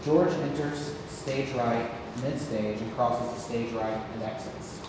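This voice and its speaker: A man's voice: mid-range voice, fairly even intonation, slow pace. A man reading out scripted stage directions as the narrator of a play read-through. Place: theatre room, recorded from far off. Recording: distant echoey hall, clear background noise.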